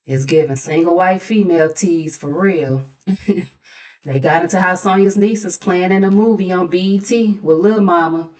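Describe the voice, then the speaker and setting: Gossip channel host: American woman, slightly low-pitched, sassy and casual, dropping mocking asides into her recap. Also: normal speed, a little mellow.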